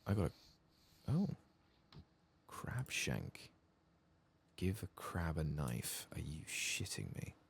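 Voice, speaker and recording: A soft-spoken man murmuring ASMR commentary as he plays a video game. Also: rumbling low, huge pitch swings, slow pace, gravelly, pauses often, husky. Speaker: ASMR gaming streamer.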